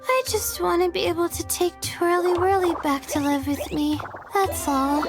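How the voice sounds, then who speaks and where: A woman voicing a gentle, modest, earnest teenage girl in a video game, slightly hesitant and friendly. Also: very high voice, fairly even intonation, moderate pace. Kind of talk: animated video game voice acting. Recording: music behind the voice.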